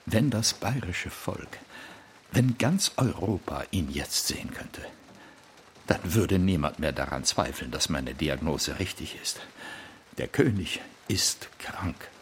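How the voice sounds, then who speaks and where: A royal physician in a radio play. His deep voice moves expressively while staying formal and unctuous, with cool condescension. There is faint noise behind him. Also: animated, takes it slowly. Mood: grave, clinical. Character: royal court physician, stiffly deferential, coolly condescending man. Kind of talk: radio drama acting.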